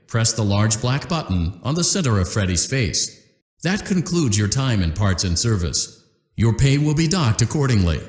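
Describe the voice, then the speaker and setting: A man's voice: mid-range voice, echoey room, natural voice. A man voicing a cheerful corporate training instructor. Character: upbeat corporate male repair-training instructor. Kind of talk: scripted game instructions.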